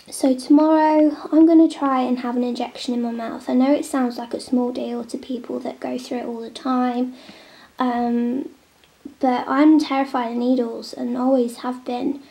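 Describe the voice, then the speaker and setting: A young woman with a cold, chatting casually while drawing at home, often dropping into a soft near-whisper. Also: sits high, gravelly. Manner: chatty, drifting between soft near-whispers and normal talk. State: snotty with a cold. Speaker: vlogger drawing on a tablet. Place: home, at a table indoors. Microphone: camera on the table.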